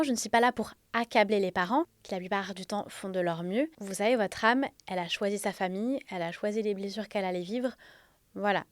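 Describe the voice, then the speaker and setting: A woman's voice: mid-range voice, dry recording. Earnest woman in her thirties, dry. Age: 30s. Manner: earnest and confiding, with many soft fillers. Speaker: podcast host. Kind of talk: spiritual self-help podcast talk.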